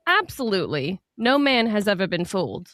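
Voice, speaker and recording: A woman's voice: mid-range voice, big pitch swings, a little fast, fairly bright tone, faint music behind. A woman playing a smug man who is sure he knows women, speaking with overconfident emphasis. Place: podcast studio.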